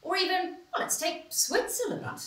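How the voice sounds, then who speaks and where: An actress playing a polite, earnest TV debate moderator in a satirical stage play. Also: female voice, very high voice, expressive, normal speed, a bit bright. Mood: polite challenge. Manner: polite, formal, questioning. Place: small stone-walled theatre stage. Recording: roomy stage sound, distant mic.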